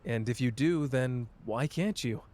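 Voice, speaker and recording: A man playing a cocky but wounded young sorcerer in an intimate roleplay audio drama, with halting, emotional delivery. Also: medium pitch, a little mellow, natural voice. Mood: hurt, pleading.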